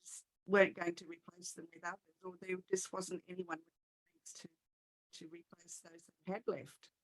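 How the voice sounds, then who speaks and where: A woman hosting a podcast, chatting casually and agreeably, halting and rephrasing as she goes. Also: fairly low pitch, flat delivery, poor audio. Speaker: podcast host. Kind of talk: casual conversational interviewing.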